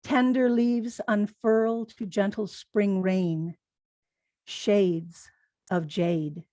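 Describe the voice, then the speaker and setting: A woman reads short poems at a slow, measured pace, leaving space between phrases. Her voice sits at a middle pitch, and the sound is close and dry. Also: fairly even intonation, dark tone, no room sound. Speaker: haiku poet. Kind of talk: poetry reading.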